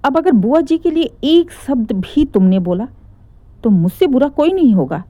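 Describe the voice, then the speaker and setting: A woman's voice: high-pitched, sing-song, moderate pace, warm tone, dry recording, pitched above the performer's own voice. A woman storyteller acts out an angry husband in a family drama. He is protective and threatening, laying down a warning. The recording is dry. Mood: furious. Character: furious husband defending his aunt, threatening and protective.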